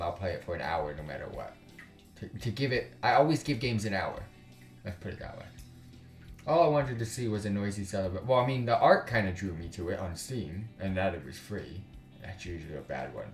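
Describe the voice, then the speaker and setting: A man with a fairly low voice hosts a let's play. He talks in a blunt, casual, slangy way and switches between reading aloud and commenting. The room has a clear echo, with music faintly playing behind him. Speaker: game streamer, host.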